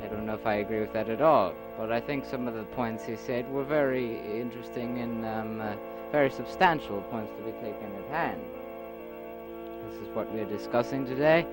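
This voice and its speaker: A man's voice: medium pitch, loud music behind the voice, natural voice, rough recording. Man playing an earnest young leader. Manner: earnest speech with uhs, measured. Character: the journeyman, young male leader back from a journey. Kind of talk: amateur audio drama.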